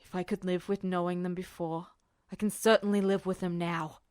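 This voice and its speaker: A woman voicing a troubled, guilt-ridden young soldier, speaking low and strained in a podfic reading. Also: little pitch movement, normal speed, a little mellow, no echo, clean studio sound, close to an everyday voice. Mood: insistent.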